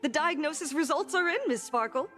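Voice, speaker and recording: A woman's voice: high-pitched, expressive, a little fast, sharp tone, soft background music. A woman voicing a smug quack doctor in a video game, theatrical and taunting as she makes pronouncements. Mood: mock clinical.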